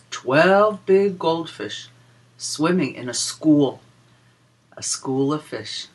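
A woman reading a picture book aloud to young children in a sing-song, deliberate way, explaining and counting as she goes. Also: sits low, fairly bright tone, husky. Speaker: children's story reader and teacher.

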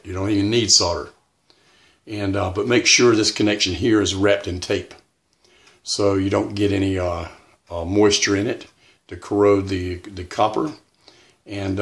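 A middle-aged man giving a casual, plainspoken how-to at home, pausing often with "uh". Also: on the low side, fairly bright tone. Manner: plainspoken, with frequent restarts and "uh" pauses. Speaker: radio hobbyist demonstrating antennas. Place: indoors at home.

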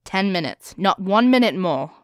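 A woman's voice: mid-range voice, expressive, moderate pace, no room sound, studio quality, lightly acted. A woman acting in an audio roleplay as a tough, confident spy with a tender private side, wry and self-assured. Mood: impatient.

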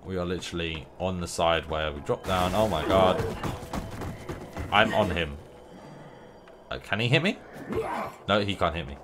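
Give me a low-pitched young man rambling to himself and his viewers as he plays, stuffy from a runny nose. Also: normal speed, pauses often, over music. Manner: rambling, playful, talks to himself and the game. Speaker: gameplay streamer. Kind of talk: casual gameplay commentary. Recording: noisy game sound behind voice.

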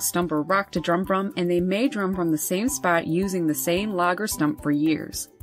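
A woman host reading scripted nature narration over wildlife footage in a measured, informative way. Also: on the low side. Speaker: birding channel host.

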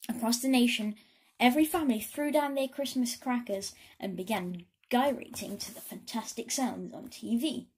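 A woman storyteller giving an expressive read-aloud of a children's story. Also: fairly high pitch, moderate pace, breathy edge.

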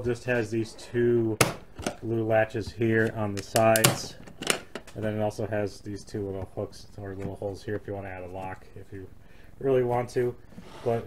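A man hosting a fishing channel, casually walking through his gear with plenty of fillers while he handles it in a garage. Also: lowish voice. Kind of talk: casual show-and-tell of gear. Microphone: camera held close, filming his hands. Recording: noisy home video with crinkling packaging.

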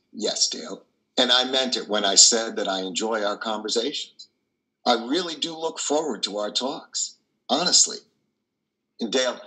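An older man acts out a kindly priest in a stage drama, with a lowish, bright voice. He counsels gently, in measured, reassuring phrases with small hesitations. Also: exaggerated voice, poor audio. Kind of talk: dramatic play reading. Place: indoor wood-trimmed room on a video call.

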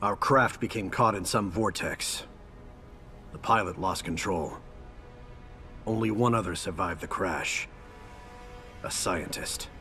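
Earnest fighter hero from a video game, lowish voice, respectful, bit of music. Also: male voice, slow, pauses often, pushed and bright, full character voice. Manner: respectful, formal. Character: earnest, disciplined martial arts fighter, adult male. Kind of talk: dramatic game cutscene acting.

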